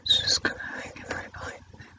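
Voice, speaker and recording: A man whispering an unhurried, musing ASMR commentary as he draws. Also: sharp tone. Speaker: ASMR artist, host.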